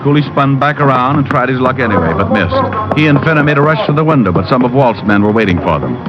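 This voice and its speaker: A man's voice: medium pitch, animated, fast, over loud music, natural voice. An actor in a 1940s radio drama playing a hard-boiled, wry private detective who also narrates his case. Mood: tense narrating. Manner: wry, dry humor. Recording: dull old 1940s radio recording.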